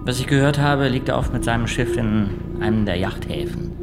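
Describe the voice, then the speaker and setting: An actor playing a reluctant, impatient police informant in a naturalistic German radio crime drama. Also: male voice, medium pitch, fairly quick, loud music behind the voice, natural voice. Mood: guarded. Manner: curt, irritable, impatient. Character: gruff reluctant male police informant, posing as haulier.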